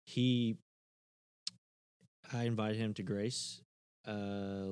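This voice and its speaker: Low-voiced guy telling a story, earnest, bit halting, smooth resonant voice. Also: steady tone, a little mellow, no room sound, clean studio sound. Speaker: church member. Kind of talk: casual conversation.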